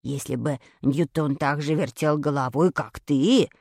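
A woman voicing a serious, bossy schoolboy in a children's story, speaking deliberately and emphatically. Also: deep voice, gravelly, darkish tone, husky, no room sound, clean studio sound, pitched below the performer's own voice, heavily acted. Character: slow, serious, assertive schoolboy, bossy friend.

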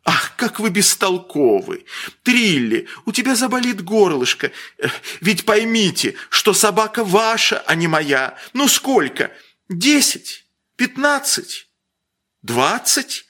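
Man putting on a spoiled grand lady, high shrill voice, bossy and impatient; story reading. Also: big pitch swings, slow, pushed and bright, heavily acted. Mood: exasperated. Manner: shrill, exasperated, commanding.

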